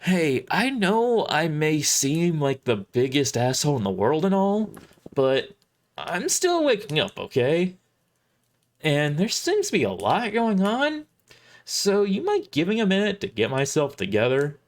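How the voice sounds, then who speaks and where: A performer voicing a groggy, careless man who talks in a halting, defensive way in an audio drama. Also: medium pitch, huge pitch swings, pitched above the performer's own voice. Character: flustered, snarky, groggy man, careless deadbeat father.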